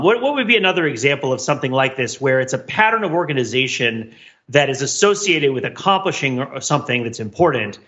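Bright-voiced wry man, slightly muffled recording. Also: medium pitch. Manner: discursive, wry, academic vocabulary. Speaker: podcast host. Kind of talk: casual intellectual podcast discussion.

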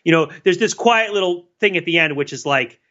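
A man with a fairly high, very bright voice co-hosts a podcast, musing aloud in a loose, rambling way. He restarts his sentences and laughs often as he works through his ideas. The recording sounds dull and lo-fi. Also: expressive, a little fast. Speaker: podcast co-host. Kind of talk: casual analytical discussion.

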